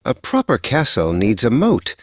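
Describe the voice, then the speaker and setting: A man voicing an eager, polite little male puppy in a children's story, sweet and excitable. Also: medium pitch, moderate pace, no echo, studio quality, voice pushed up. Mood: excited.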